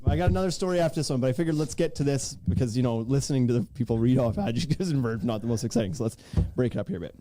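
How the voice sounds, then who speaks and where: A man's voice: medium pitch, lively intonation, very fast, hardly any pauses, mellow. A man hosting a casual podcast, reading silly stories aloud in a jokey way and prone to laughing through his sentences. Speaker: podcast host.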